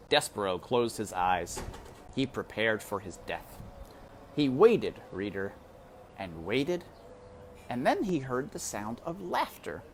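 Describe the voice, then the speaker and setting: A woman narrating a children's novel aloud with expressive, deliberate, dramatic phrasing. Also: extremely low pitch, a little hiss or hum.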